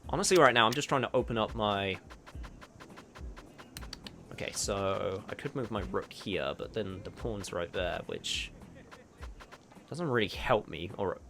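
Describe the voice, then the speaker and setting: A man's voice: on the low side, a little slow, pauses often, fairly bright tone, soft background music. A man casually thinking aloud while playing a video game, muttering his moves, swearing now and then, and chatting with viewers. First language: not Japanese. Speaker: gaming streamer. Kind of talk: casual gameplay commentary.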